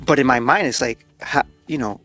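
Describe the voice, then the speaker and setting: A man's voice: medium pitch, brisk, fairly bright tone, no echo, faint music behind. A man hosting a casual interview, talking in an effusive, informal way. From born in Argentina, raised in the US. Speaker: podcast host.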